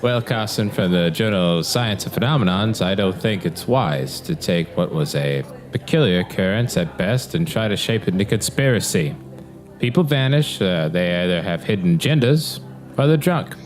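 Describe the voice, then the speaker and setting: Man playing a pompous, low-voiced city mayor. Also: animated, normal speed. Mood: dismissive, reassuring. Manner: pompous, oratorical, smooth politician. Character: cheerful, self-satisfied, folksy city mayor. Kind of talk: scripted audio drama acting. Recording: loud music behind the voice.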